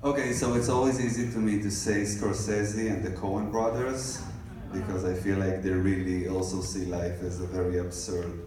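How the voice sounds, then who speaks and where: A man's voice: deep voice, level tone, normal speed, a crowd in the background. Young Israeli man, echoey theater. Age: young adult. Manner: hesitant, many fillers and restarts. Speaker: filmmaker guest. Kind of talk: live Q&A answers. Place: large cinema stage. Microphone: handheld mic heard from the audience. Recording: echoey theater recorded from far.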